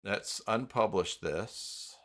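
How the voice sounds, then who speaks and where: A man hosting an unscripted live software tutorial, talking haltingly as he thinks aloud while working. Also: lowish voice. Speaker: host of live tutorial stream.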